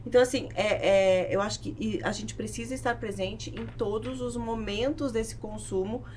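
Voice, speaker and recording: A woman's voice: fairly high pitch, steady tone, moderate pace, a little mellow, slight background noise. A Brazilian woman talking casually as a business guest on a podcast. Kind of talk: casual interview talk.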